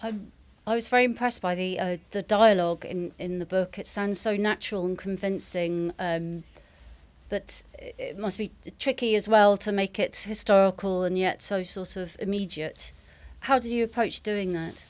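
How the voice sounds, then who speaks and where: A woman's voice: mid-range voice, moderate pace, darkish tone. A woman interviewing a guest with considered, conversational questions.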